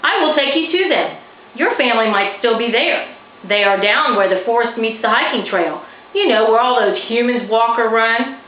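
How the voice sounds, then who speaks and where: A woman's voice: a little high, animated, normal speed, natural voice. A woman voicing a friendly, helpful fox in a children's storybook. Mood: friendly, urgent. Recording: roomy, slightly dull, faintly noisy recording.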